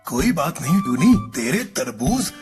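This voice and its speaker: A man's voice: mid-range voice, very animated, unhurried, music in the background. A man voicing a greedy, scheming bird merchant in a cartoon story, with a sly, bossy drawl. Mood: smug, generous. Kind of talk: Hindi cartoon story acting.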